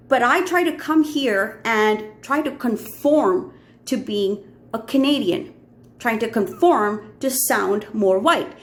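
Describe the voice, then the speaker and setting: A woman with an Indian accent talking earnestly in a personal vlog, with frequent fillers and restarts. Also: fairly high pitch. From Middle East. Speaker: vlogger.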